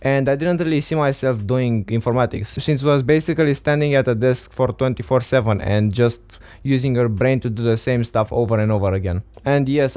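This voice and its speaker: A man's voice: mid-range voice, a little mellow. A teenage boy from Romania, speaking English as a second language, earnestly giving career advice to viewers in a casual vlog. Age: teens. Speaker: vlogger. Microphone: studio XLR mic.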